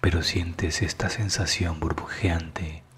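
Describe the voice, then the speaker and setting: A man narrating a scripted guided meditation in a calm, soothing manner that is hushed at times. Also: low-pitched, slow.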